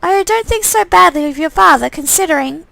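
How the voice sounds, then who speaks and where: A woman's voice: very high voice, animated, a little fast, creaky, pushed and bright, no room sound, pitched above the performer's own voice, exaggerated voice. A woman voicing a doting, unsettlingly cheerful middle-aged mother in a game, fussing sweetly and gushing fondly.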